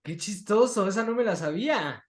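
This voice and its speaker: A male interviewer with a Mexican accent and a slightly high voice. He sounds engaged and conversational, and the recording is noticeably roomy and echoing. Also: expressive, moderate pace. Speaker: interviewer, host. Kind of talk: casual interview.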